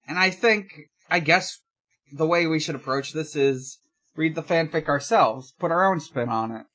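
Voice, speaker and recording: A podcast host speaking casually and haltingly, in chat and reading fanfiction aloud. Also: medium pitch, fairly bright tone. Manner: hesitant, halting.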